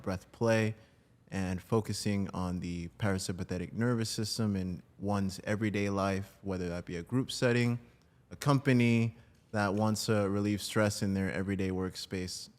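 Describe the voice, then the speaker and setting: A man's voice: sits low, darkish tone. A man from New Jersey talking casually as an interview guest about his own work. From New Jersey, United States. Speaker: podcast guest, breathwork coach.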